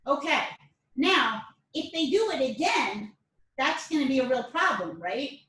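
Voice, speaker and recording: A woman's voice: high-pitched, sing-song, a little slow, fairly bright tone, a little hiss or hum. A woman instructor giving a casual self-defense lesson, chatty, often checking in with "all right?". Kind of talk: casual class lecture to camera. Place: dojo or training room. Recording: echoey room, mic at a distance.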